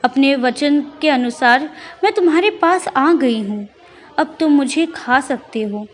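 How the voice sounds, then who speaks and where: A woman voicing a gentle, earnest mother cow in a children's story, speaking slowly and imploringly. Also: high-pitched, animated, a little hiss or hum. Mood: resigned. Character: gentle, pleading mother cow, honest and devoted.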